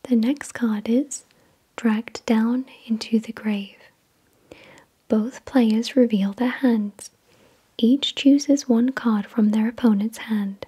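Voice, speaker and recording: A woman whispering softly and breathily in ASMR style, reading aloud in a deliberate, measured way. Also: a little high, fairly even intonation, slightly rough. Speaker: ASMR video creator and host. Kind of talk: soft-spoken ASMR, reading card text aloud.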